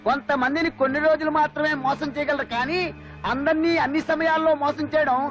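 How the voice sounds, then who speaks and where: A man's voice: extremely high pitch, fairly even intonation, brisk, muffled recording, over music. An actor in a comedy film playing a wisecracking, scheming man with a theatrical, mocking delivery. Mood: accusing. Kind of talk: Telugu comedy film acting.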